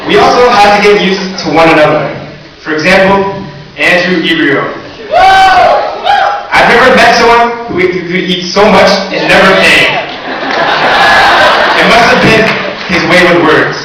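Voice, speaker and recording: Teen guy giving a grad speech, bit high voice, jokey but sincere, heard from far back in a big echoey hall. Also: normal speed, pauses often, a loud crowd. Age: teens. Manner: humorous, earnest speech delivery. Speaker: valedictorian. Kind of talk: graduation speech. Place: large echoey hall or gym. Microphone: recorded from far off in the audience. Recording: distant echoey hall recording with hiss.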